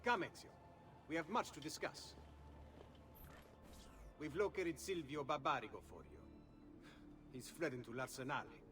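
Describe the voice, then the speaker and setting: An actor voicing a jovial, scheming middle-aged thief boss with a playful manner. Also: male voice, on the high side, normal speed, pauses often, close to an everyday voice.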